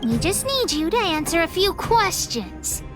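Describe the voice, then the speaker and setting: A woman voicing a bold, bossy, excitable little girl gang leader with a childish, blustering manner in a video game. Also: very high voice, measured pace, full character voice. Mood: stern, demanding. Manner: childish, blustering, speaks of herself by name. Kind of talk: video game character acting. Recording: music behind the voice.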